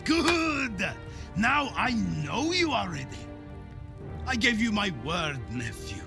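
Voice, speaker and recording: An actor playing a proud, stern older Viking lord in a video game, with weighty, declamatory speech. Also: male voice, sits high, very animated, takes it slowly, creaky, heavily acted. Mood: proud, solemn. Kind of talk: dramatic cinematic game acting. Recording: music behind the voice.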